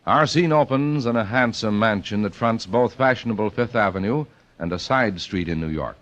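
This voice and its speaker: A man delivering scripted old-time radio narration in a deliberate, dramatic manner. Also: lowish voice, lively intonation, a bit bright. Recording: dull, lo-fi old radio recording.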